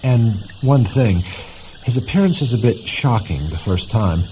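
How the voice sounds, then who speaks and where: A man playing a matter-of-fact space station chief in a science fiction radio drama, measured and explanatory with dry humor. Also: medium pitch, expressive, mellow, slight background noise, natural voice. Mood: grave, matter-of-fact. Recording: muffled lo-fi audio with music behind.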